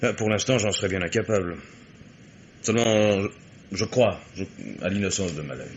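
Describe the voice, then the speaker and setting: Actor as low-voiced, unruffled private detective. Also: male voice, dull audio, a little hiss or hum, close to an everyday voice. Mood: calm, firm. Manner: measured, polite, unruffled. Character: composed, evasive, courteous male private investigator. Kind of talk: French radio mystery play acting.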